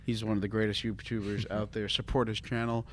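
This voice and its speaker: A man's voice: fairly low pitch, flat delivery, fairly quick, slight background noise. A young man chatting casually and slangily as a podcast co-host.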